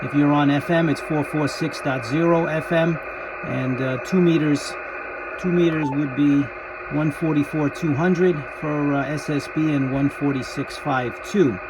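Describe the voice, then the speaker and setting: A man's voice: a little high, fairly even intonation, measured pace, background noise. A man who makes amateur radio videos, speaking casually as he narrates and operates.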